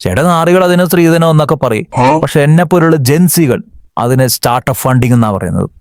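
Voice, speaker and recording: A man's voice: a little high, expressive, quick pace, a little mellow, no echo, clean studio sound. A young man from Kerala voicing a lazy, jobless cartoon slacker with cocky, sulky comebacks. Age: young adult.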